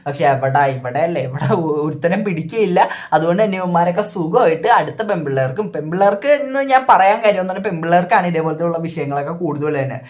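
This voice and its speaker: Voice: medium pitch, animated, quick pace, few pauses. A reaction video host with a Kerala accent, giving opinionated, repetitive casual commentary.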